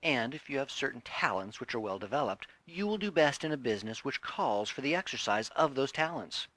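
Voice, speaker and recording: A man narrating a self-help audiobook in a deliberate, emphatic, instructive way. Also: mid-range voice, expressive, a bit bright. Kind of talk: scripted audiobook reading of a self-help text.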